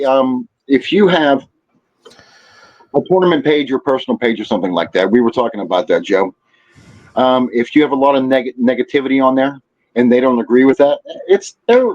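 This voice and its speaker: A man giving practical advice in casual podcast conversation, repeating and rephrasing his points in a halting way. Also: medium pitch, darkish tone, lo-fi sound. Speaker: podcast guest.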